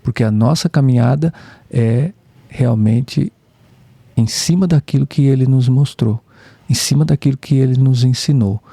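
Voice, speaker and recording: A man speaks in a measured, reflective way. His voice is dark, gravelly and husky. The recording is dry and close. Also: medium pitch, dry recording. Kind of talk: spiritist study discussion.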